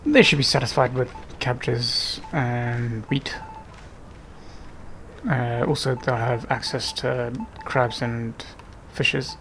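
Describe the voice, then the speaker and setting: A man's voice: medium pitch, steady tone, slow, fairly bright tone, slight background noise, rough recording. A man giving casual gameplay commentary, muttering to himself as he thinks aloud. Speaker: gaming video host.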